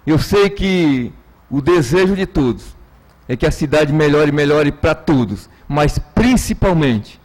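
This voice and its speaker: A Brazilian man speaks as a city councillor on the chamber floor. His voice sits a little high for a man. He is emphatic and oratorical, often repeating a phrase to drive it home. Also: a little mellow. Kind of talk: political floor speech.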